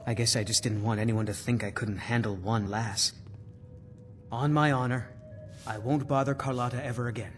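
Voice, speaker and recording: An actor playing a boastful, lovestruck tavern bard in a fantasy game. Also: male voice, medium pitch, steady tone, normal speed, music in the background, lightly acted. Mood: chastened.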